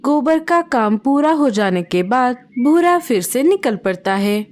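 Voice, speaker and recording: Woman storybook narrator, calm, slightly high voice, slow delivery.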